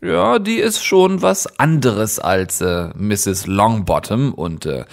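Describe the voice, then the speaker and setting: An actor playing an anxious, deferential male employee in an audio drama, speaking haltingly. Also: lowish voice, big pitch swings, creaky, heavily acted. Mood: nervous, deferential. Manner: halting, nervous. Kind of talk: German audio drama acting.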